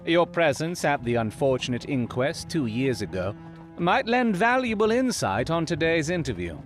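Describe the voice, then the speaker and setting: A proud, brilliant Victorian gentleman detective in an audio drama. His speech is formal and eloquent, with theatrical, emotional swings in pitch. Faint music sits behind the voice. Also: big pitch swings, normal speed. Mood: brisk.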